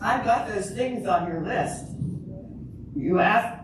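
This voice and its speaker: A woman's voice: mid-range voice, takes it slowly, lightly acted. A woman in an amateur stage reading playing an eager, deferential theater staffer who tends to a diva. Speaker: amateur reader's theater performer. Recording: echoey hall from far off, noisy.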